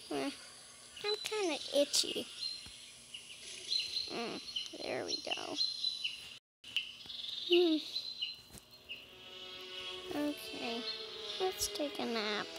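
A woman's voice: high voice, huge pitch swings, very slow, gravelly, long pauses, loud music behind the voice. A woman talking casually to herself with little hums as she roleplays a character in a video game. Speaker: gameplay video player. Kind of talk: casual solo roleplay as a dragon while playing.

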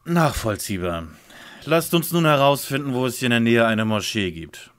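A man's voice: mid-range voice, animated, normal speed, fairly bright tone, close to an everyday voice. A man playing a sober, formal young lead detective in a parody radio play.

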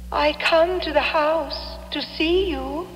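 Radio horror ghost: high, drawn-out, beckoning, mournful, drenched in reverb over music. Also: female voice. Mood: eerie beckoning. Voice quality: drawn-out, eerie. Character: ghostly woman calling a man, beckoning, mournful. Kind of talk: 1970s radio drama acting. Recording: long ghostly reverb, old radio recording.

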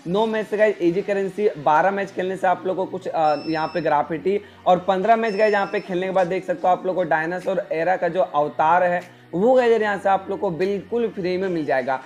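A man's voice: sits high, fairly quick, hardly any pauses, a little mellow. A young man explaining game events as a video host, constantly addressing viewers with repeated filler phrases. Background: background music.

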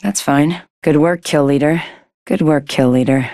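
A cool female fighter from a video game. She is guarded and deadpan, low in pitch, recorded dry. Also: unhurried, dry recording. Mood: cool, understated.

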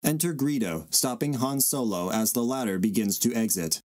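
A man's voice: mid-range voice, normal speed, darkish tone, no room sound. Dry-recorded male stage-direction narrator. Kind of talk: scripted narration.

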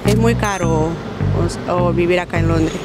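A woman, speaking at a mid pitch and hesitating often with fillers. She is recorded outdoors by a bus stop, with loud music underneath. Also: steady tone, normal speed. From Bolivia. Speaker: interviewee.

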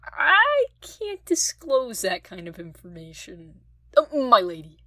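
A woman's voice: on the high side, wildly swooping pitch, slow, sharp tone, no echo, studio quality, exaggerated voice. A woman playing a chirpy, cheeky nineteen-year-old mercenary escort in an audio drama. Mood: cheeky, proud.

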